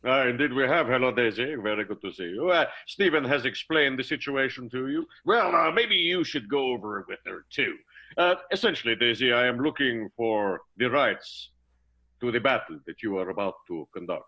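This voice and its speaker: Dull-sounding, formal, halting man , acted by a man. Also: medium pitch, expressive. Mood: courteous, negotiating. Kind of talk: improvised radio soap acting.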